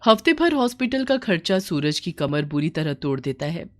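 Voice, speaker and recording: A woman's voice: lowish voice, sing-song, moderate pace. A woman storyteller reading a scripted moral bedtime story.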